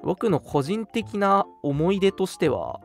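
Animated young man chatting over music. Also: high-pitched, slow, gravelly. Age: young adult. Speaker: radio show co-host. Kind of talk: casual chat, reminiscing. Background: background music.

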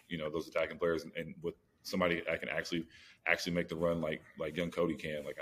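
A man's voice: extremely low pitch, slight background noise. A man co-hosting a casual soccer podcast in slangy, informal, sometimes profane language. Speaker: sports podcast co-host.

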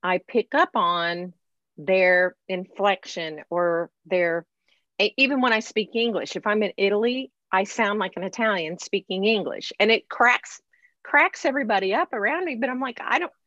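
A woman who is a classically trained singer, hosting an interview in animated, chatty conversation with frequent laughs. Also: mid-range voice, normal speed, dry recording, lo-fi sound. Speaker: podcast host, professional classical singer. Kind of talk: casual interview chat.

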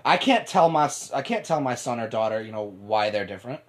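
A young man from upstate New York co-hosting a podcast, talking excitably, laughing often and swearing. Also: medium pitch, brisk. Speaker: podcast co-host. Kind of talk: casual chat.

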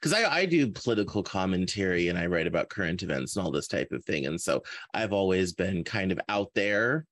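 A man's voice: deep voice, very animated, fairly quick, few pauses, a bit bright, no echo. A man chatting casually as a podcast interview host, often repeating and rephrasing his words as he feels out a thought. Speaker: interview host and fiction author.

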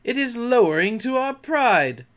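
A man voicing an eager, deferential pirate crewman, excitable and anxious to please, in a storybook reading. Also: very high voice, unhurried, voice pushed up, full character voice. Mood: uneasy.

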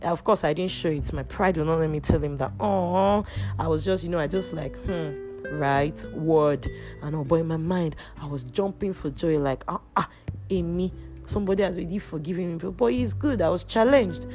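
Low-voiced woman podcaster, loud and lively. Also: normal speed. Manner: loud, animated storytelling with restarts. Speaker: podcast host. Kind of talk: casual personal chat. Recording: music playing underneath. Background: guitar music.

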